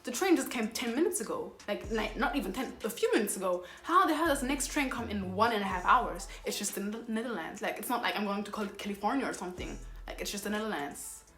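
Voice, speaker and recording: A woman's voice: mid-range voice, expressive, quick pace, hardly any pauses, a bit bright. Exasperated young woman telling a story. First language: Somali. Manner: rambling, exasperated retelling with self-corrections. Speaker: vlogger. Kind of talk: casual storytime. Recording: roomy echo with faint background music.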